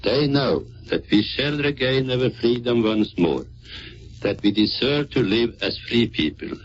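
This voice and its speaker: A man's voice: medium pitch, expressive, a little slow. A Norwegian man with a Norwegian accent, a serviceman delivering a scripted wartime radio address from the 1940s. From Norway. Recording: noisy muffled 1940s radio recording.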